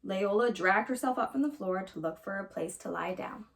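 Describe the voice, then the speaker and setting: A woman storyteller reading a children's fairytale aloud with expressive narration. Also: medium pitch, moderate pace, creaky.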